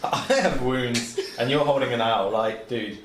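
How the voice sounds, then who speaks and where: A man's voice: medium pitch, a little slow. Wry man in a roomy, faintly noisy recording. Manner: dry, wry. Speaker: player in a tabletop role-playing game. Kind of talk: casual game chat. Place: echoey indoor room. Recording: echoey room, faint noise and clicks.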